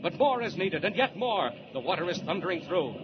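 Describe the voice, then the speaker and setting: High-pitched, dramatic male radio narrator. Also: sing-song, fairly quick. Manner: dramatic, urgent, declamatory. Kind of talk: 1940s radio serial narration, scripted. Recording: muffled, noisy old radio recording.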